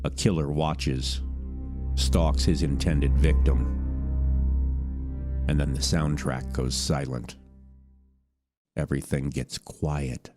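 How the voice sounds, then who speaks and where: A man narrating a scripted true-crime podcast in a measured, dramatic storytelling manner. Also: extremely low pitch, fairly even intonation. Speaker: narrator, voice actor. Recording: music bed behind narration. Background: music.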